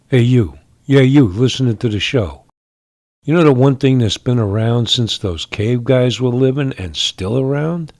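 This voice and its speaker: Man, wisecracking ad read, mid-pitched, dry close sound. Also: no echo. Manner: streetwise, wisecracking, conspiratorial. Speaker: ad announcer. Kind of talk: casual radio ad.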